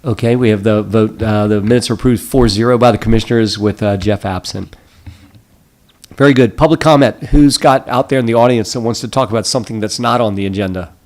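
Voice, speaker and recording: A man's voice: on the low side, darkish tone. Guy running a town council meeting, friendly, keeps saying very good. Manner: affable, brisk procedural patter with repeated "very good". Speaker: meeting chair, town commissioner. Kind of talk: chairing a public meeting.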